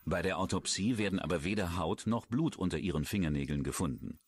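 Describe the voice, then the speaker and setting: A man's voice: low voice, fairly quick, husky. A man reading scripted true-crime narration as a documentary narrator.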